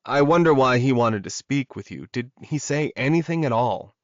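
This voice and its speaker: A male narrator voices an alpha werewolf in a paranormal romance audiobook. He is earnest, concerned and protective, with a brooding streak. The sound is dry, with a medium-pitched male voice. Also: animated, normal speed, no echo, muffled recording, natural voice. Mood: serious.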